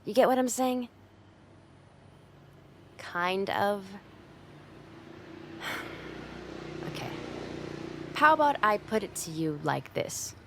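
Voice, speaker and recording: A woman's voice: medium pitch, very animated, slow, long pauses, a little hiss or hum, exaggerated voice. A woman playing a tough but secretly caring delinquent girl in an ASMR roleplay, talking bluntly and teasingly to the listener. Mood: stern encouragement. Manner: blunt, teasing, streetwise, with hesitant softening.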